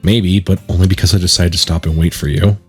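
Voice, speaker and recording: A man voicing a reluctant high school boy from a visual novel, dry and dismissive. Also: fairly even intonation, fast, breathy edge, faint music behind. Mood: teasing, dismissive. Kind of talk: visual novel character read-aloud.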